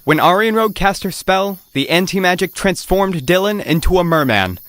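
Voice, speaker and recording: A woman's voice: fairly low pitch, lively intonation, moderate pace, heavy background noise, close to an everyday voice. A woman playing a theatrical storyteller, narrating a scripted musical audio drama straight to the audience. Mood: storytelling. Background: loud music.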